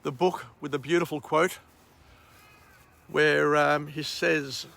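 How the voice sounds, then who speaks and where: Croaky slow-talking Australian man outdoors. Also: a little high, fairly even intonation, fairly bright tone. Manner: slow, rambling, frequent uh and um. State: croaky voice after a night of drinking. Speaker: vlog host. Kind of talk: outdoor morning vlog and book summary. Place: outdoors at a vineyard at sunrise.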